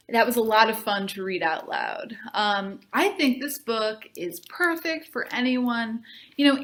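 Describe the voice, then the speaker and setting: Upbeat librarian lady doing storytime, takes it real slow, kinda high expressive voice. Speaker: youth services librarian reading aloud. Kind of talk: animated children's book read-aloud and chatty sign-off.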